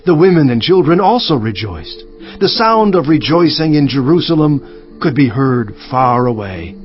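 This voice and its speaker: An actor playing a biblical governor who recounts events in the first person, in a stately, deliberate dramatized scripture reading. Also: male voice, medium pitch, sing-song, soft background music, natural voice. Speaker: audiobook narrator. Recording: dry, slightly dull studio audio.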